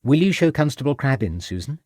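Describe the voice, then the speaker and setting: A man voicing an affectionate, eager young Victorian wife who pleads and gushes in a lifted, lively voice. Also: mid-range voice, fairly quick, no echo, clean studio sound. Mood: polite. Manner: gushing, pleading.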